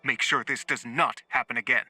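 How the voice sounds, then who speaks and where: Game colonel: very sharp, bright voice, formal and calculating, dry delivery, music underneath. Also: male voice, medium pitch, steady tone, moderate pace, no echo. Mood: stern. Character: composed, calculating male military colonel, smugly superior. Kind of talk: scripted video game acting.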